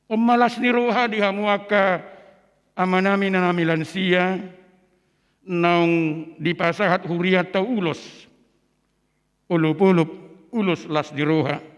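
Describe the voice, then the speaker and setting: A man's voice: high voice, steady tone, slow pace, long pauses, clear, resonant tone. A man preaching in church, moving between two languages and rising to emphatic peaks. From Indonesia. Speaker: pastor. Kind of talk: sermon. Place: large church. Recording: long church hall reverb.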